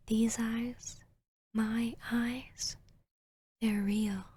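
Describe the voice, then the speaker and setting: Woman playing a breathy, slow-talking hypnotic vampiress. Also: fairly high pitch, a little mellow. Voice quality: breathy, half-whispered. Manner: slow, soothing, commanding, teasing. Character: seductive, arrogant vampiress posing as a soothing hypnotic therapist. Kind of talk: ASMR roleplay, hypnotic guided induction.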